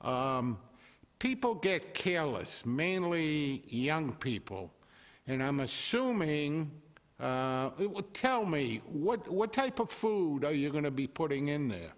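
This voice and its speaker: A man who is a neighbor speaking at a town zoning hearing, talking slowly and haltingly with many fillers, in a loud voice away from the microphone. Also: on the high side, very animated. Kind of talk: public comment at town meeting. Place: town hall meeting room. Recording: reverberant hall, speaker off mic.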